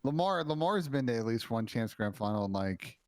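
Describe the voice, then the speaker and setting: A young man talks esports as a panel co-host and gives opinions on teams and players, often hedging with "I think". His voice is mid-pitched and recorded dry, right up close. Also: lively intonation, fairly quick, darkish tone, no echo. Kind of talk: casual esports chat.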